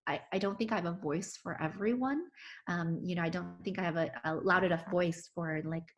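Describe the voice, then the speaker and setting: A woman's voice: lowish voice, fairly quick. A woman physician giving an oral history interview, talking earnestly with many restarts and fillers. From United States.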